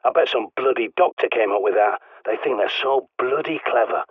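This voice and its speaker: An actor playing a gruff, bossy British survivalist who guides a player by radio, speaking bluntly and swearing freely. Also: male voice, medium pitch, a little fast, no room sound. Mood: dry, scornful. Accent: British English. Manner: barking orders over a radio. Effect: radio filter. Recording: tinny dry radio-line sound.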